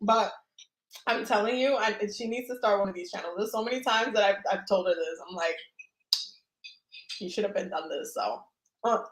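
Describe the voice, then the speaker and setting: A woman's voice: medium pitch, moderate pace, pauses often, echoey room, poor audio. A woman hosting a casual tarot live stream who chats and laughs while she eats. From Dominican. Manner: chatty and laughing, talks while eating.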